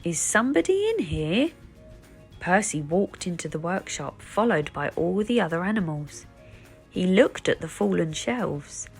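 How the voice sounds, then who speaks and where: A woman reading a children's book aloud as an expressive, deliberate storyteller. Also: fairly low pitch, creaky, slightly rough, soft background music. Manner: animated, deliberate.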